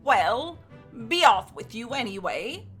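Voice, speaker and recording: A woman's voice: fairly high pitch, huge pitch swings, unhurried, a bit bright, faint music behind, exaggerated voice. A woman voicing a fretful, scolding giant's wife in a read-aloud fairy tale, fussing in a raised voice.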